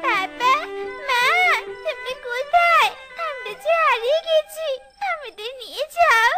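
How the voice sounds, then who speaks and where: A woman's voice: extremely high pitch, lively intonation, a little slow. A woman voicing a timid, trusting little village girl in a plaintive, childlike way for a children's cartoon. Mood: scared, tearful. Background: children's music.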